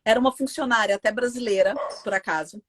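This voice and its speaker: Woman, Brazilian Portuguese accent, somewhat high voice, emphatic, indignant, interview guest. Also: fast. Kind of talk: casual interview.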